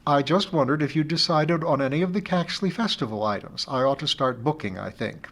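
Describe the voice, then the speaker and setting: A man voicing a shrewd, affectionate woman friend who talks briskly on the telephone. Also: a little high, lively intonation, fairly bright tone. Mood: brisk, kindly.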